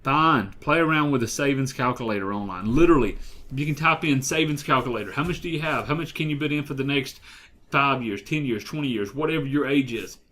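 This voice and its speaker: A man's voice: medium pitch, brisk, bright, forceful tone. A man giving a motivational talk as a coach, emphatic, repeating key phrases and asking the listener direct questions. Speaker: life and business coach.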